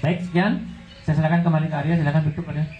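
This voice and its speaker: A man's voice: on the high side, rapid-fire, muffled recording, slight background noise. A man from Bali with a Balinese accent, giving an informal, encouraging talk to young people as a guest speaker. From Bali, Indonesia. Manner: encouraging, lively. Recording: echoey room.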